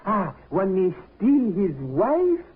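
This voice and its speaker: An actor playing an excitable, amorous French inventor who halts and hunts for English words, in 1950s radio drama style. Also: male voice, high voice, breathy edge, full character voice. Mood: amused, confident. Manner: halting, hunting for English words. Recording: tinny, narrow old radio recording.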